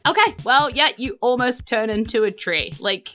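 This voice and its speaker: A woman's voice: on the high side, animated, moderate pace, bright, forceful tone, soft background music. A woman with a New Zealand accent narrating a tabletop game as game master, chatty and informal.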